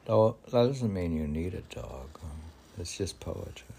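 A man's voice: sits low, sing-song, a little slow, vocal fry, warm tone, slight background noise. A man reading poetry aloud and drifting into casual, musing asides in a conversational way. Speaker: poetry reader.

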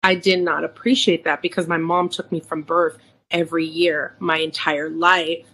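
Candid, lower-pitched woman. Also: little pitch movement, moderate pace, a bit bright, breathy edge, rough recording. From San Francisco, United States. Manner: candid, emphatic, repeats words for emphasis. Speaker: podcast co-host. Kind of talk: casual conversation with some Spanish mixed in.

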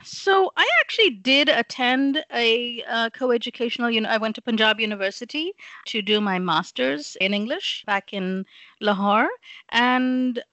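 A woman's voice: fairly high pitch, normal speed, pushed and bright, no room sound. A middle-aged woman from Pakistan, a guest in an interview, speaking thoughtfully with many fillers and restarts and often ending her points with "right?". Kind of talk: reflective conversational interview.

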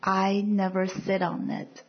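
A woman reads her poem very slowly, her voice slightly breathy and a little high. She lets each line settle before the next. The recording is a bit dull and lo-fi. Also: monotone. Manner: soft, deliberate phrasing with pauses between lines. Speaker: poet reading her own poem. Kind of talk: slow, measured poetry reading.

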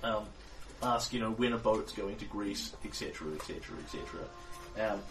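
A man's voice: on the low side, flat delivery, a little fast, noisy background. A man acting as game master, explaining things loosely with many hesitations. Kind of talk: running a tabletop game. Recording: somewhat distant mic, faint music.

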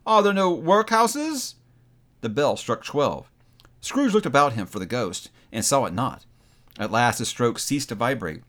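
A man narrating an audiobook in a dramatic, expressive and deliberate storytelling style. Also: medium pitch.

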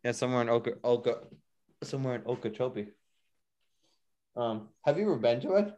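A man's voice: mid-range voice, animated, a little fast, mellow, muffled recording. A man co-hosting a casual podcast chat, rambling with lots of fillers and restarts. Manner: rambling, filler-heavy, repeats himself. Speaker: podcast co-host.

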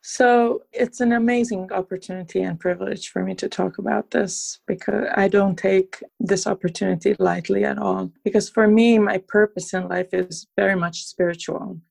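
A woman from Transylvania, Romania, speaking earnestly and in measured, articulate phrases as a podcast guest, reflecting in an interview. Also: medium pitch, steady tone, vocal fry, darkish tone.

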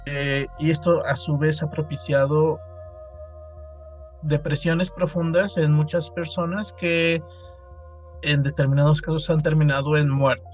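Slow-speaking Mexican man in his thirties. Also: on the high side, fairly even intonation, faint music behind. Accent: Mexican Spanish. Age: 30s. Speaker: podcast guest. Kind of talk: casual podcast conversation.

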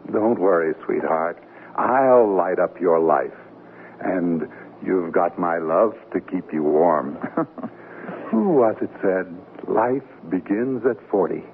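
A man's voice: low-pitched, slow. A man playing an easygoing, romantic middle-aged newlywed husband who jokes breezily in a radio comedy. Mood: playfully romantic. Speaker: actor. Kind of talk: 1970s radio comedy acting. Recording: narrowband 1970s radio recording, faint hiss.

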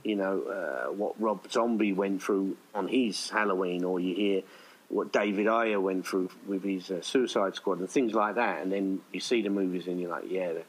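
A man's voice: sits low, moderate pace, slightly rough, low-quality recording. A man talking casually as a filmmaker guest in an interview, in a rambling way with quoted asides. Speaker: indie filmmaker, podcast guest.

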